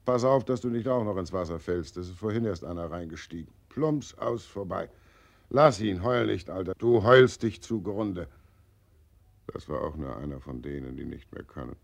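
An actor playing Death as a fat, cynical, belching undertaker in a 1940s radio play. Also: male voice, sits low, expressive, normal speed, gravelly, full character voice. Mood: jeering. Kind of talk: 1940s radio drama acting.

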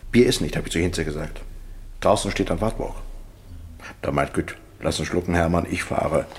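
An actor playing a gruff, defensive working man in a radio drama, terse and colloquial. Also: sits low, moderate pace, natural voice. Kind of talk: naturalistic radio drama acting. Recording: noisy ambience behind.

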